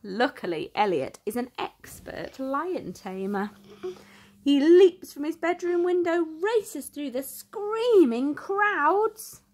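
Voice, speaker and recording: Very animated, higher-pitched woman storyteller. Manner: expressive, theatrical, slow deliberate phrasing with emphasis. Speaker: children's storybook reader. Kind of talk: dramatic storytime narration for young children.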